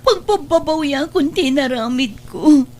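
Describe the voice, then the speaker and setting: A woman's voice: high-pitched, animated, unhurried, darkish tone. A woman with a Filipino Ilocano accent playing a battered, remorseful mother, tearful and pleading in a melodramatic radio drama. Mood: tearful, distraught. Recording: music bed under radio drama dialogue.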